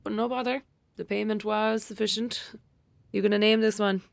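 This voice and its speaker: A woman's voice: mid-range voice, level tone, moderate pace, vocal fry, no room sound. A woman playing a blunt, sceptical young girl with an Irish accent in an audio drama, speaking in a casual, colloquial way. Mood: wry. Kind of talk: naturalistic audio drama acting.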